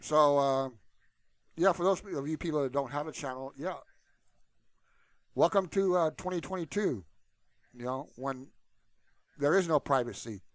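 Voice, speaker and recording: Casual man rambling, slightly high voice. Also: a little slow, long pauses, dry recording. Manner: informal and unscripted, repeatedly saying "you know". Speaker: YouTube channel host. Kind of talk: casual, rambling solo talk to viewers.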